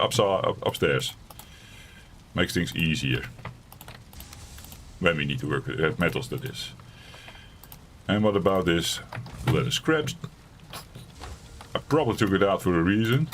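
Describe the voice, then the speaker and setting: A raspy, fairly low-pitched man rambles through casual let's-play commentary, joking at his own expense as he streams from a home gaming setup. Faint keyboard clicks tick away behind him. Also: slight background noise. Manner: rambling, self-deprecating, chatty.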